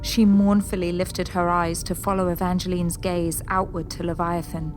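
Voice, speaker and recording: A woman's voice: on the low side, level tone, normal speed, music in the background. A woman narrator reading scripted, descriptive narration for an audio drama.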